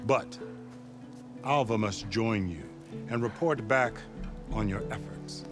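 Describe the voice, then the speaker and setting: A man's voice: fairly low pitch, takes it slowly. A man playing a haughty, calculating military overseer in a video game, speaking formally and pompously. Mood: smug, commanding. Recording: music behind the voice.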